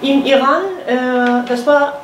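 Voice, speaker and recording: A woman in her thirties from Turkey with a Kurdish accent, lecturing at a university seminar in halting speech with many fillers. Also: sits high, flat delivery, soft background music. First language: Kurmanji Kurdish. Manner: halting, many fillers, gestures while explaining. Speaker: guest lecturer. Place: university seminar room, indoors. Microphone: far camera. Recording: echoey classroom, faint noise.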